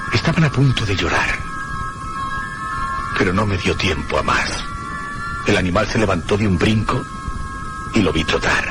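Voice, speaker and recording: Radio mystery narrator: haunted traveller, deep pitch, grave deliberate delivery, music underneath. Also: male voice. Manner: solemn, deliberate, suspenseful repetition. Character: haunted one-armed male traveller recounting mysteries, solemn, troubled. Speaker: narrator and protagonist. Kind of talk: dramatic scripted radio narration.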